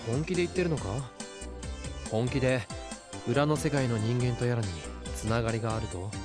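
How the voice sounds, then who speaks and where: A man playing a serious, gruff young student in a Japanese visual novel, with music behind him. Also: mid-range voice, fairly even intonation, very fast, lightly acted. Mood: skeptical.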